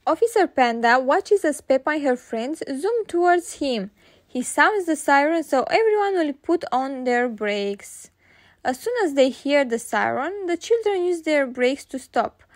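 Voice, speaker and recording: A woman reading children's stories aloud in an expressive way, singsong in the refrains. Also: high-pitched, measured pace. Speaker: children's storybook reader. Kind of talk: read-aloud narration for young children.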